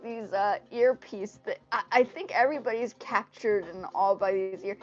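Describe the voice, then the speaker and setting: A woman from Indonesia, a native Indonesian speaker, hosting a casual livestream in a rambling, animated way, often repeating her phrases. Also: medium pitch, normal speed, faint music behind. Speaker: livestream host. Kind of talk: casual livestream chat with viewers. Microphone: earpiece mic on a phone. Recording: dull lo-fi livestream audio through earpiece mic.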